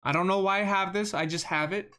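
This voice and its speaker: A game streamer with a monotone voice, rambling through live gameplay commentary, self-deprecating and often repeating words. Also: medium pitch, brisk.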